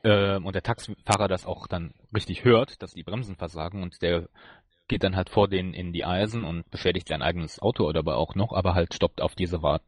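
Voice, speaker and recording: A man co-hosting a podcast, casually reviewing an episode in a halting way as he searches for words. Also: deep voice, no room sound. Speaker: podcast co-host.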